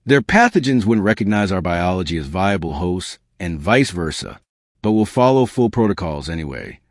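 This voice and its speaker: Man reading as curious, assured woman scientist, expressive. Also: sits low, moderate pace, dry recording, clean studio sound. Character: focused female scientist aged 36, curious, assured. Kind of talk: audiobook character dialogue.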